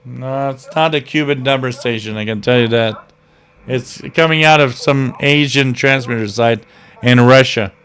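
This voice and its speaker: A man's voice: medium pitch, moderate pace, fairly bright tone. A man co-hosting a live radio stream, chatting casually and rambling through stories with lots of fillers and restarts, laughing at himself. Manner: laughs at his own stories.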